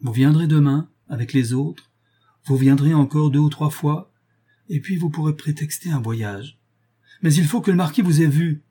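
A man's voice: on the high side, normal speed, dark tone, slightly rough, pitched above the performer's own voice. A man reading a novel aloud as a remorseful married noblewoman, grave, reproachful and resolute as she renounces her lover. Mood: urgent, commanding.

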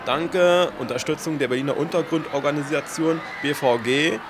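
Deadpan, pedantic German policeman played by an actor. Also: a little high, normal speed, background noise, lightly acted. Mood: officious, deadpan. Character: bureaucratic, officious West Berlin police officer. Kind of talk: satirical radio play.

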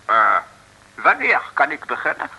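Pompous, swooping, husky mayor. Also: male voice, medium pitch, wildly swooping pitch, a little slow, sharp tone, lo-fi sound, exaggerated voice. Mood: eager, smug. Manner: bombastic, blustering. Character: pompous, cowardly, opportunistic male mayor. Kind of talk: 1960s radio comedy serial acting.

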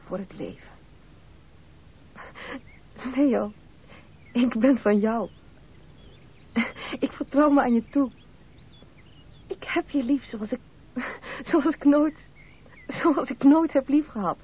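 A woman's voice: high voice, takes it slowly, long pauses, slightly rough, exaggerated voice. An actress playing a proud, troubled young woman in love, earnest and dramatic, in a Dutch radio play. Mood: passionate surrender. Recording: narrow, noisy old radio play recording.

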